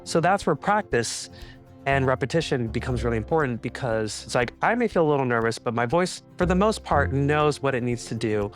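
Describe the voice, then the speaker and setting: A man's voice: medium pitch, brisk, soft background music. A man who sings for a living, speaking animatedly in an interview and breaking into short vocal demonstrations. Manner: animated, demonstrates with sung sounds. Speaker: professional singer. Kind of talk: interview talk.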